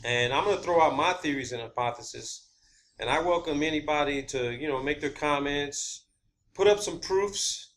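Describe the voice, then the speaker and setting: Halting, emphatic man over background noise. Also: on the high side, fairly bright tone. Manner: halting, emphatic, repeats key words. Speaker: video channel host. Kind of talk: rambling unscripted monologue explaining personal theories. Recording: clear background ambient noise.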